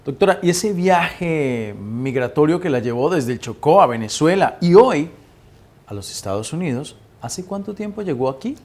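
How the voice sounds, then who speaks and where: A man's voice: fairly high pitch, expressive, moderate pace, darkish tone. A man hosting a podcast interview, asking questions in an enthusiastic, emphatic way. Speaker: podcast host.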